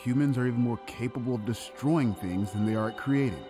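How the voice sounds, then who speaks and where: A man playing a proud, distrustful talking animal in an animated fairy tale, grave and deliberate. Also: medium pitch, over music, close to an everyday voice. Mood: accusing, distrustful.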